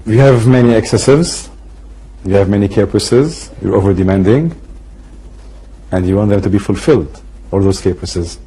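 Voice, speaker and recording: A man's voice: lowish voice, normal speed, mellow, breathy edge, rough recording. A man, a native Arabic speaker, hosting a TV interview and asking pointed, probing questions. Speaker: TV interview host.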